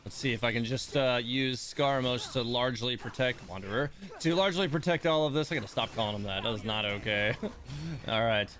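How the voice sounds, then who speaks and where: A man's voice: mid-range voice, fairly quick, a bit bright. A man giving casual livestream gaming commentary, musing as he talks his choices through to himself. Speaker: livestream gamer, host. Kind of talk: casual gameplay livestream commentary, thinking aloud.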